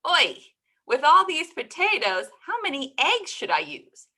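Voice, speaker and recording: A woman's voice: high voice, wildly swooping pitch, bright, forceful tone, pitched above the performer's own voice, full character voice. A woman storyteller voicing a frantic, easily exasperated housewife who exclaims and moans in a folk tale. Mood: groaning. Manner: exclaiming, moaning with a drawn-out "Oi".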